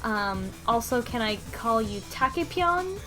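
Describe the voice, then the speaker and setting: A woman with a fairly high voice talks casually as a podcast panelist about a game's story. She speculates in an animated, loosely strung way, saying "like" often, with music playing behind her. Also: a little slow. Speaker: book club podcast panelist. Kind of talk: casual discussion of a video game story. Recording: music playing under the talk. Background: background music.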